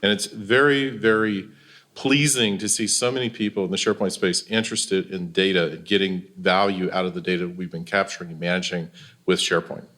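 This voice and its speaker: An American man with a fairly low voice speaks as a panelist. He explains things in a conversational way, as a consultant would to an audience. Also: fairly even intonation, brisk, fairly bright tone. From Louisiana, US.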